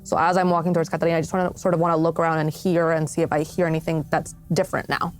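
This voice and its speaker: A woman's voice: low-pitched, flat delivery, rapid-fire, soft background music. A woman playing a tabletop game, describing her character's moves hesitantly as she thinks aloud. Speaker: player. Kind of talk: casual tabletop game play.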